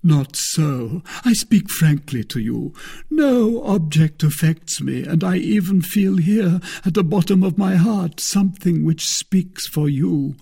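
A man's voice: fairly high pitch, animated, slow pace, creaky, mellow, husky, voice pushed up, heavily acted. A man voicing a proud musketeer in an audiobook, pompous and melodramatically wounded as he declaims. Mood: melancholy.

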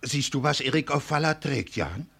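An actor playing a calm, friendly village neighbour in a German radio play, steady and dryly reassuring. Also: male voice, medium pitch, normal speed, creaky, slightly rough, heavily acted.

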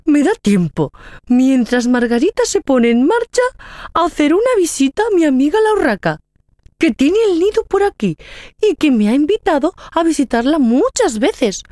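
A woman's voice: sits high, big pitch swings, normal speed, dark tone, dry recording, studio quality. A woman voicing a carefree, overconfident mother hare in a children's story, chatty and full of exclamations. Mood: breezy, excited. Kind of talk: children's audio story acting.

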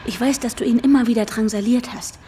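An actress playing an anxious, obsessive woman in a German radio drama, tense and breathy, often dropping to a whisper. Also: fairly high pitch, fairly even intonation, fast, noisy background, lightly acted. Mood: brooding, intense. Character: anxious, obsessive, unstable woman narrating her own desperation. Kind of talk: German radio drama acting with inner monologue.

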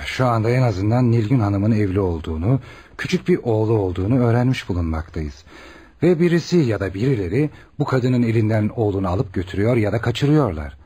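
An earnest junior male doctor in a radio drama. His delivery is lively and emphatic, in a mellow, rather husky voice. He reasons things out deferentially but keenly. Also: fairly low pitch, brisk. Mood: earnest, indignant. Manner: emphatic, explanatory.

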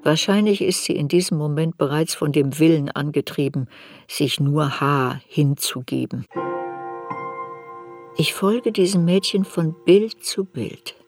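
A woman's voice: deep voice, a little slow, darkish tone, soft background music. A woman playing a reflective narrator who looks back on a girl's story in a literary radio play. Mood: reflective, sober.

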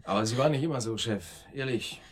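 An actor in a German radio drama playing a brooding, soothing gangster sidekick with a husky voice and a muttering, placating manner. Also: male voice, medium pitch, a little fast, lightly acted.

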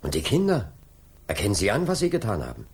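An actor playing a probing, persistent middle-aged police inspector in a German radio drama. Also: male voice, lowish voice, wildly swooping pitch, quick pace. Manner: inquisitive, insistent questioning.

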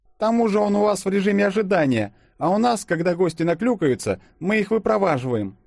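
A man voicing a brisk, indignant young waitress who exclaims firmly in an audiobook story. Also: sits high, big pitch swings, gravelly, darkish tone, dry recording, clean studio sound, voice pushed up, heavily acted.

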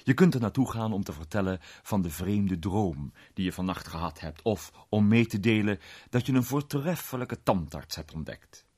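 A wry, urbane clubman narrating a radio play. The voice is very low and rough-edged, with a lively, swooping intonation. He delivers the tale with dry humour. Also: normal speed. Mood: wry, amused. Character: male London club member, urbane, wry narrator.

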